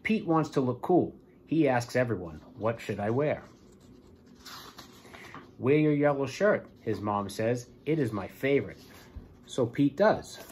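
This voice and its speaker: A man in his forties, a police lieutenant, reading a storybook and speaking to schoolchildren in a plain, deliberate way. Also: medium pitch, darkish tone, a little hiss or hum. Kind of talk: children's storybook read-aloud and address to pupils. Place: small office, indoors.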